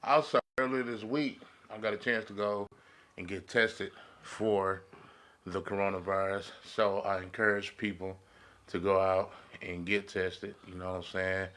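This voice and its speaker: An American man co-hosts in casual slang. His voice sits a little low but has a bright, pressing edge, and he often repeats short affirmations. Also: flat delivery, measured pace. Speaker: podcast co-host. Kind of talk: casual chat. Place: podcast studio.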